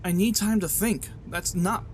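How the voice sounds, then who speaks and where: A man's voice: high voice, a little slow, slight background noise. A man playing an evasive, defensive young boyfriend in a naturalistic radio drama. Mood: defensive, irritated.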